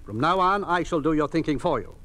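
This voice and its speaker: A domineering state hospital director in 1950s radio style. His voice sits fairly high and moves widely in pitch. He is cold, commanding and condescending. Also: animated, a little fast, bright, forceful tone. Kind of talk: 1950s radio drama acting. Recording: old 1950s radio recording.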